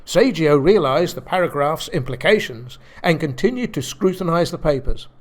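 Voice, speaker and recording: A man's voice: fairly high pitch, animated, normal speed. A man giving scripted comic narration for a radio play, in a wry, mock-grand manner. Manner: theatrical, wry.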